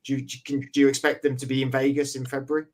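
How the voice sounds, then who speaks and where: A man hosting a casual sports podcast in a chatty, informal way, slightly hoarse and sniffly with a cold. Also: medium pitch, level tone, rapid-fire, a little mellow. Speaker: podcast host.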